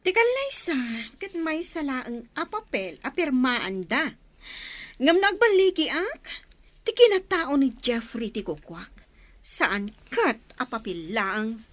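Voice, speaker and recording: High-voiced jealous, defiant young woman. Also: very animated, slow pace, fairly bright tone, no echo, full character voice. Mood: bitter, defiant. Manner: emotional, defiant, dramatic. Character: jealous, determined young woman scheming over a man. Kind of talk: Philippine radio soap opera acting.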